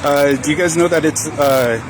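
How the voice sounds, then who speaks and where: A man's voice: on the high side, normal speed, crowd noise. A man speaking casually and politely as a citizen journalist doing street interviews, often hesitant and informal in his phrasing. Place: outdoors by a vaccination line. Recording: loud outdoor traffic and vehicle noise.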